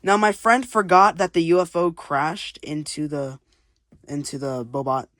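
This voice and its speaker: A casual creator narrates a homemade comic in a mid-pitched voice. The delivery rambles, with frequent unsure asides. The sound is dry, with very little room in it. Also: steady tone, measured pace, fairly bright tone, no room sound. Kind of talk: casual read-aloud commentary.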